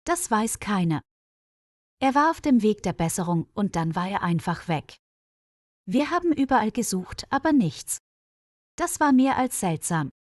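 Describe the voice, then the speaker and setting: A woman's voice: medium pitch, very animated, measured pace, a little mellow, no echo, clean studio sound. A woman narrator voicing a tired, worried female hospital colleague in a story, earnest and explanatory.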